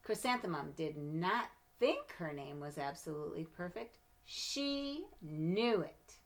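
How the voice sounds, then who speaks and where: Slightly low-voiced woman reading a children's story. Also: big pitch swings, takes it slowly. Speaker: storybook reader. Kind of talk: expressive children's picture-book narration.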